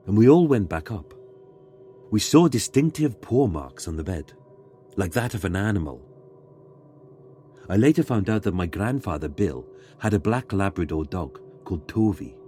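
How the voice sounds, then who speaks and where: A man reading a scripted ghost story in a measured, dramatic way, pausing for suspense. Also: low-pitched, lively intonation, mellow, slight background noise. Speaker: guest narrator reading a submitted ghost story.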